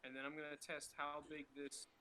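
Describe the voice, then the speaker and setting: A young man speaking informally and haltingly as he hosts and narrates an online group script read. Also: a little high, completely flat delivery, a crowd in the background. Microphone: computer mic with headphones on a group call.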